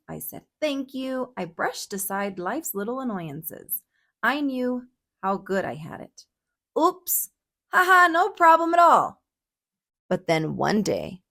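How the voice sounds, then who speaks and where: A woman reading a picture book aloud for children in a dramatic, expressive storytelling manner. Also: mid-range voice.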